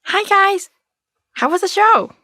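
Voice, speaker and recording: A romantic young woman played by an actress speaks in a high voice with very animated intonation. It is expressive audio drama dialogue in a dry recording. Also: slow pace, no room sound, full character voice. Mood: bright, friendly. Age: young adult.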